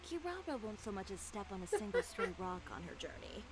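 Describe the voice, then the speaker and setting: A woman's voice: medium pitch, wildly swooping pitch, a little fast, a crowd in the background. A woman voicing a composed, confident female fashion designer and boutique owner in a studio game. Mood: businesslike.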